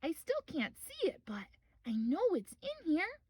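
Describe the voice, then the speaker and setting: A woman's voice: very high voice, huge pitch swings, measured pace, darkish tone, dry recording, voice pushed up, heavily acted. A woman voicing a curious, eager young boy bear cub in a children's storybook. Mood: excited. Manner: wide-eyed, eager questioning. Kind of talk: children's storybook read-aloud.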